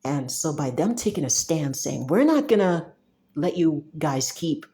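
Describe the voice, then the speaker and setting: A woman's voice: low-pitched, animated, unhurried, mellow. A young woman from Cameroon, talking casually and emphatically to the camera, with restarts and frequent laughs. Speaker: software developer talking to camera. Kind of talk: casual monologue.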